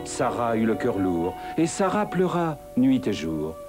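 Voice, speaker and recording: A grandiloquent cartoon storyteller with a mid-pitched, very varied voice. He recites lyrically over clear background music. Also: sing-song, unhurried. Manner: poetic, grandiloquent. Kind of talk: dramatic storybook narration in cartoon dub.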